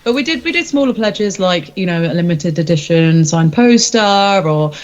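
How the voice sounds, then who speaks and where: A woman's voice: lowish voice, animated, moderate pace, highs cut off. A woman, a singer-songwriter, chatting casually and informally in an interview in a rambling way.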